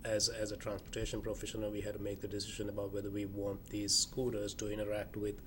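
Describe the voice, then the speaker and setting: A man, a city official, answering interview questions in a calm, precise, explanatory way. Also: fairly low pitch, quick pace, a little hiss or hum. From Sri Lanka. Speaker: public works director, guest.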